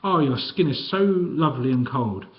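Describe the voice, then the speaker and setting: A man's voice: medium pitch, lively intonation, moderate pace, muffled recording, voice pushed up, natural voice. A man voicing a huge, jolly old female ex-wrestler with a guttural voice. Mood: hearty.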